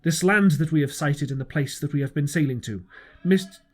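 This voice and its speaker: A man plays a ship's captain from a storybook adventure. He is brisk, formal and authoritative, addressing others as one in command. The voice sits a little high for a man. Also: natural voice. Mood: formal, hearty.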